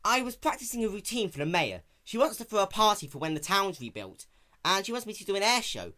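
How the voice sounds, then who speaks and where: Storybook role: brash young female flying pony played by a man, high lifted voice, animated, full of bravado. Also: fast, heavily acted. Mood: excited. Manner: boastful, casual slangy talk.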